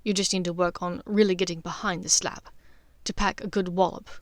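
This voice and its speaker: Lady reading as a nervous young guy in an audiobook, quiet and wry, bit hesitant, very dry sound. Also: lowish voice, fairly even intonation, a little fast, dry recording, lightly acted. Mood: wry, fond. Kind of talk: dramatized audiobook character dialogue.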